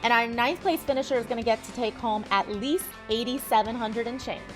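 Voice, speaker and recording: A woman's voice: a little high, a little fast, faint music behind. A woman co-hosting a poker broadcast, giving sports-style commentary and analysis.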